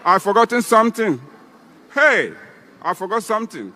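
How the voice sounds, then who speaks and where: A man's voice: high voice, sing-song, moderate pace, bright, forceful tone, in a large hall. A man in his eighties with a Nigerian Igbo accent, giving a ceremonial speech as an elder breaking kola nut. He rambles and repeats himself. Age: 80s.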